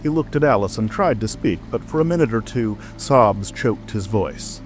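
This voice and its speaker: A man's voice: lowish voice, expressive, normal speed. A man narrating a storybook audiobook in a scripted reading style. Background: rain ambience and music.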